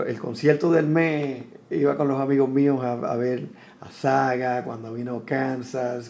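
A Puerto Rican man in his fifties, a military veteran, telling his life story in a reflective, unhurried way, often restarting his sentences. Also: medium pitch, darkish tone. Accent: Puerto Rican Spanish. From Puerto Rico.

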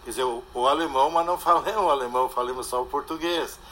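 A man's voice: medium pitch, quick pace, a bit bright, slight background noise. An older man, a guest speaking casually and hesitantly, often trailing off with a questioning tag. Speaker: interview guest. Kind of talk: casual conversation. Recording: faint background ambience.

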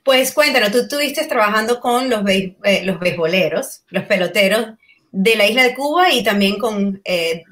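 A woman's voice: mid-range voice, expressive, normal speed, fairly bright tone. A woman hosting a Spanish-language interview podcast, asking questions in a friendly way with frequent fillers and hesitations. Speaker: podcast host.

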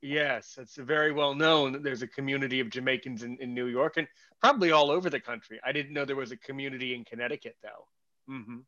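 Male interviewer, bright and forceful voice, asks with curiosity and stumbles over restarts, muffled recording. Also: medium pitch, fairly even intonation, brisk. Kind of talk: casual interview chat.

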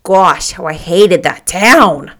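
A woman's voice: on the low side, lively intonation, takes it slowly, fairly bright tone. A woman voicing a gossipy, worldly young woman in a storybook, confiding and emphatic as she chats. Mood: chatty, lively.

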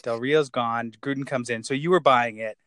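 A man hosts a loose, joking podcast chat. He teases his guest and keeps things moving. His voice sits at an ordinary pitch, recorded close and dry. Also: moderate pace, a bit bright, no echo. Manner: playful, wisecracking. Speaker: podcast host. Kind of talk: casual joking interview.

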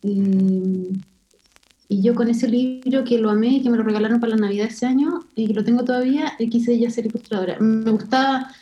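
A woman from Chile with a Chilean Spanish accent, an illustrator speaking thoughtfully as an interview guest and pausing often with fillers. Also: a little high, warm tone, muffled recording. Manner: thoughtful, hesitant with many fillers. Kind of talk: casual interview conversation.

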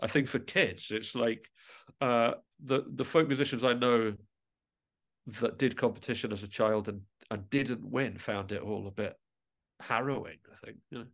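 A man speaking as an interview guest, a musician reflecting tentatively and often pausing mid-thought to rephrase. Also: medium pitch, steady tone, a bit bright.

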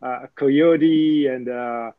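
A man hosting a podcast interview, talking in halting phrases with many fillers and restarts. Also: mid-range voice, steady tone, no room sound, phone-quality sound. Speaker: podcast host. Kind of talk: casual interview.

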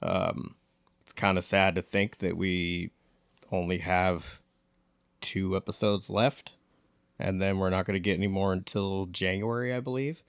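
Man, low pitch, gravelly fry, dry sound, podcast co-host, casual conversation. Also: fairly even intonation, unhurried, no room sound. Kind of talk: casual chat.

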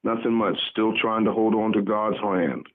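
A man in his sixties from the Bahamas speaks as a guest pastor in a radio interview. His voice is low, and he talks earnestly, feeling his way through sentences. It comes over a thin, muffled phone connection. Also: steady tone. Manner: measured, halting, earnest.

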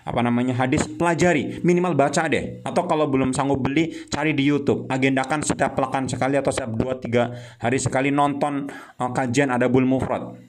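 A man's voice: medium pitch, steady tone, brisk. A man in his thirties giving a heated motivational talk as founder of a youth religious movement, mixing in English terms and Arabic phrases.

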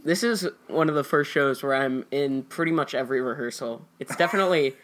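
A man's voice: medium pitch, brisk, a bit bright. A young American man chatting casually as an actor guest on a podcast. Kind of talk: casual chat.

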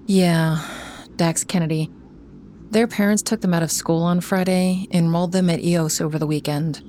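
An actress playing a composed, discreet professional woman in measured, naturalistic audio drama dialogue. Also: on the low side, fairly even intonation, mellow, a little hiss or hum, lightly acted. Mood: calm, guarded.

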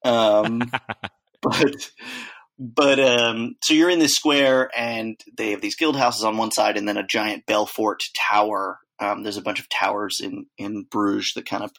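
A man's voice: fairly low pitch, fairly even intonation, unhurried, fairly bright tone. A man co-hosting a casual chat, telling rambling travel stories with lots of fillers and acted-out quotes. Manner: rambling storyteller, acts out quotes, many fillers.

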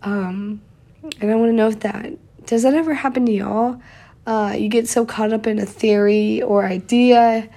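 A woman's voice: on the high side, warm tone. A young woman, hosting a casual solo podcast, a little stuffy from a cold and chatty with lots of fillers. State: slightly congested from a cold. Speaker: podcast host.

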